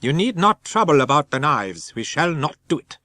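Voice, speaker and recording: Man playing a grave, deliberate old foreign professor. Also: a little high, sing-song, bright, forceful tone, no echo, voice pushed up. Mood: urgent, indignant. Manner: deliberate, halting foreign phrasing. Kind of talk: dramatic audiobook reading.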